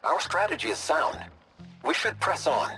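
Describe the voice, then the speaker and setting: Pompous, aloof game advisor over music. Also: male voice, a little high, very animated, normal speed, pushed and bright, heavily acted. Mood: businesslike. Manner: pompous, formal, condescending. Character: pompous, aloof know-it-all male advisor. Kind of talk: comedic animated game acting.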